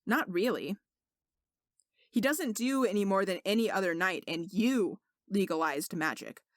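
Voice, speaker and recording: A woman's voice: medium pitch, lively intonation, measured pace, no echo, studio quality, voice pushed down, close to an everyday voice. A woman voicing an indignant young male servant who is secretly a sorcerer, speaking earnestly and insistently in a story reading.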